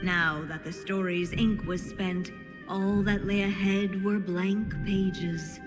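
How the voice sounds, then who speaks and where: A woman playing a calm fairy-tale narrator in a video game, telling a storybook tale in a measured, gentle way. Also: mid-range voice, close to an everyday voice. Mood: solemn. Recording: long reverb, faint music behind.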